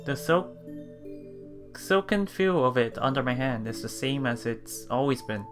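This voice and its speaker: A man's voice: medium pitch, animated, measured pace. A man doing a let's play, reading a visual novel aloud as narrator and adding casual remarks. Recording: game music behind the voice.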